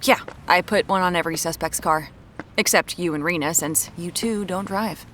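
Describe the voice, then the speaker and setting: An actress as a brisk woman detective, noisy backdrop. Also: fairly low pitch, fairly bright tone, natural voice. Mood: dry, brisk. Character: brisk, evasive young woman amateur detective. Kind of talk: audio drama acting.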